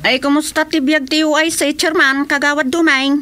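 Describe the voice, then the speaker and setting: A woman's voice: high-pitched, steady tone, normal speed, loud music behind the voice, natural voice. A woman playing a gossipy, indignant village councillor in a radio comedy drama. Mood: chatty.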